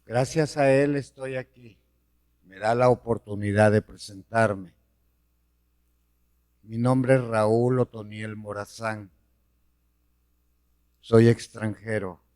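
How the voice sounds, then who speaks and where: A middle-aged man from Honduras, giving an earnest personal testimony of faith, slow and deliberate. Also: lowish voice, fairly even intonation, darkish tone.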